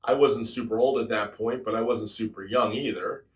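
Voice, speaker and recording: A man's voice: fairly low pitch, moderate pace. Reflective man in his sixties. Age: 60s. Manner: introspective storytelling, soft trailing endings. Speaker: drummer and channel host. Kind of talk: reflective personal talk to camera.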